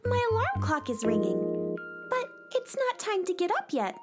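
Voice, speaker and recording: Woman plays plucky space cowgirl for kids' radio drama: girlishly high, very animated, music under. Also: normal speed, exaggerated voice. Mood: puzzled, grumbling. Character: plucky female space cowgirl sound wrangler. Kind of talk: children's radio play acting.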